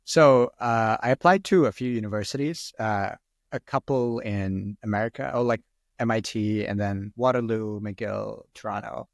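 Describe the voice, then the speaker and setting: A man's voice: on the low side, normal speed, vocal fry, no room sound, studio quality. A man in his twenties, a first-language Arabic speaker, answering podcast questions in a rambling, casual way full of "like" and "um.". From Sri Lanka. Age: 20s. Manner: rambling, heavy use of "like" and "um". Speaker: guest.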